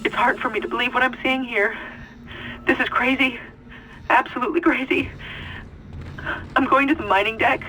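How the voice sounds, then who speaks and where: A woman's voice: a little high, steady tone, normal speed, long pauses, bright, forceful tone, slight background noise, rough recording. A woman playing a distressed doctor recording an audio log in a video game. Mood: distressed, resolute.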